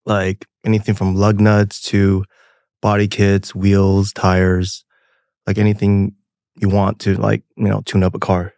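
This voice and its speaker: A soft-spoken man in his forties from Taiwan, a company founder chatting as a podcast guest with many fillers. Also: fairly low pitch, completely flat delivery, a little slow, gravelly, smooth, dry recording, clean studio sound. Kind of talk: casual interview chat.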